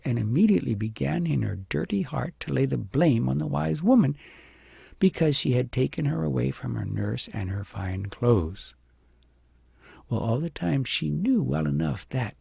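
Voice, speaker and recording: A man narrating an audiobook fairy tale expressively, with deliberate, dramatic emphasis. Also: mid-range voice, vocal fry, dark tone, breathy edge, no room sound, studio quality.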